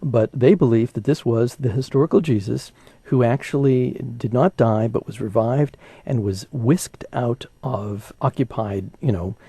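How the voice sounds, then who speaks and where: A man's voice: medium pitch, unhurried, dark tone, husky, no echo. A man giving background commentary in an informal, conversational, explanatory way.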